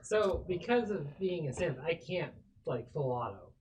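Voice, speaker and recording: A man's voice: fairly high pitch, expressive, unhurried, darkish tone, a little hiss or hum, poor audio. A man chatting casually and a bit swearily as a player in a tabletop game. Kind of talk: casual chat.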